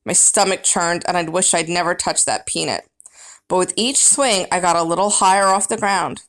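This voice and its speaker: Expressive woman reading a children's story. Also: fairly low pitch, moderate pace. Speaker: children's book reader. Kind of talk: expressive storybook narration as a first-person pet hamster.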